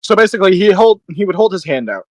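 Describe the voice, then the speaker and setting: A man's voice: sits high, very animated, quick pace, no echo. Dry, close-sounding man playing a tabletop game. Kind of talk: casual game chat.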